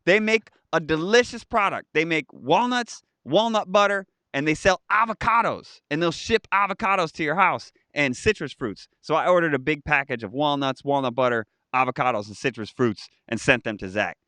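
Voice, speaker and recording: A man's voice: fairly high pitch, normal speed, hardly any pauses, no echo. A man in his thirties co-hosting a live stream, chatting casually and rambling through stories and viewer comments. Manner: animated, chatty, rambling with restarts.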